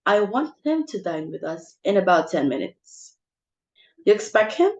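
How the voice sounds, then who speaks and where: A woman's voice: medium pitch, big pitch swings, a little slow, muffled recording. A woman playing a bossy, social-climbing society mother with theatrical exclamations in an amateur stage comedy reading. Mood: brisk, bossy.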